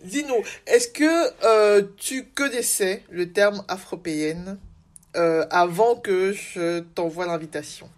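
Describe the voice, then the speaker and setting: A woman's voice: medium pitch, slow pace. A woman hosting a podcast, asking questions in an informal, encouraging way and reacting often to her guest. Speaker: podcast host, interviewer. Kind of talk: casual conversational interview.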